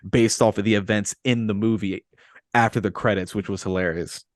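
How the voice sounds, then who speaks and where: A man's voice: fairly low pitch, fairly even intonation, brisk, no room sound. Casual male podcast co-host, dry close sound. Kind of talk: casual movie discussion.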